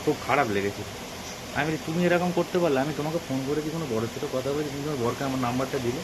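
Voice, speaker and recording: A man with a Bengali accent making a prank phone call in casual, insistent, questioning speech. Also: very fast. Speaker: prank caller hosting own channel. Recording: very noisy, loud hiss and traffic rumble.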